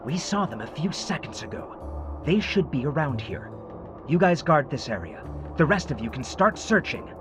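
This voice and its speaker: A man's voice: fairly high pitch, big pitch swings, normal speed. Stern, commanding henchman leader. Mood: alert, commanding. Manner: curt, commanding. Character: stern male henchman leader giving curt orders. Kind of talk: game villain voice acting. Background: music.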